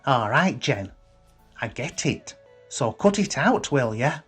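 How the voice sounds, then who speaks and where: A man voicing a touchy, quick-thinking lad in a story. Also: fairly high pitch, big pitch swings, breathy edge, soft background music, pitched above the performer's own voice, heavily acted. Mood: irritated. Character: Harry, touchy, quick-thinking lad, son of stern mother.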